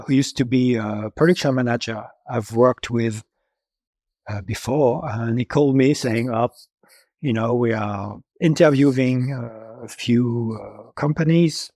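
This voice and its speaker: French-accented male guest in his fifties, halting, dry close sound. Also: medium pitch, darkish tone, no room sound. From France. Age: 50s. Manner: halting, many filler pauses, laughs often. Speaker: special makeup effects supervisor guest. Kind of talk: interview answers.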